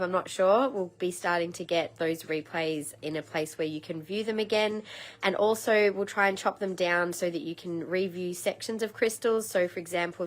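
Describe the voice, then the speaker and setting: A woman in her thirties with a slightly croaky voice, chatting casually and rambling a little as she hosts a live crystal sale. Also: on the low side, fairly bright tone. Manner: chatty and rambling, many ums. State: slightly croaky, frog in throat. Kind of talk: casual live-stream sales chat.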